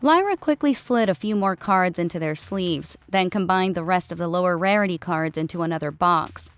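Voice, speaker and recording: A woman's voice: on the low side, moderate pace, clear, resonant tone, no echo, soft background music. A woman reading a scripted story as its narrator.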